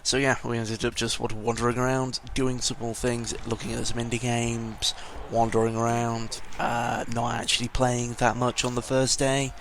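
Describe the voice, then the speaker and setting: British teen guy chatting away into his mic, kinda monotone, bit sick sounding, goes off on tangents, some noise on the recording. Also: mid-range voice, normal speed, hardly any pauses. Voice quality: sounds congested or under the weather. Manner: hesitant, tangential, mildly sweary. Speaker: vlogger. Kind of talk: casual rambling audio log. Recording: noisy home microphone recording.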